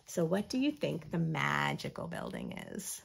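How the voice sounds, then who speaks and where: American woman, thirties, children's read-aloud, very lively intonation, gravelly fry, teacherly asides. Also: deep voice, moderate pace, a little hiss or hum. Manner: expressive, teacherly, addressing young children. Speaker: children's story host. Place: small furnished room at home.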